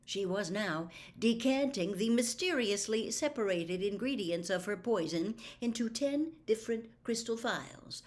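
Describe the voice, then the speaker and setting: Medium-pitched woman narrating a story. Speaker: audiobook reader. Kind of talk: expressive scripted story narration.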